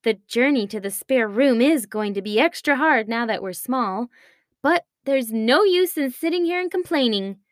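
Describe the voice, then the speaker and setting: Woman playing a sensible, high-voiced young girl. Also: animated, moderate pace, dry recording, studio quality, natural voice. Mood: determined. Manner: earnest, firm, reasoning. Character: sensible, firm young girl, the worried sister.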